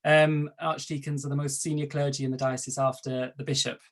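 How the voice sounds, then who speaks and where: A Welsh man whose first language is Welsh speaks as an archdeacon, delivering a prepared talk over a video call. His delivery is measured and formal, with his points laid out one by one at a middling pitch. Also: flat delivery. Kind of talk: prepared talk at an online webinar.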